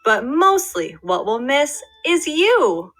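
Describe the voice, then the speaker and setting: A young woman teacher reading a storybook aloud to her first graders. Her voice is bright and high, with slow, lively, sing-song inflection. Faint music plays softly behind her. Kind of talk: reading a picture book aloud to children.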